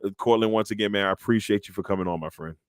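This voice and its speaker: Man, thirties, interview host, low voice, easygoing, laughs a lot, dry close sound. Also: very fast, no echo. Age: 30s. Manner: easygoing, laughs often, repeats phrases. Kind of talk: casual interview chat.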